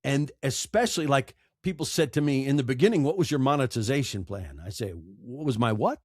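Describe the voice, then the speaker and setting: A man's voice: medium pitch, animated, fairly quick, a little mellow, no echo, clean studio sound. A man speaking as a podcast guest, giving conversational advice emphatically and repeating phrases for effect.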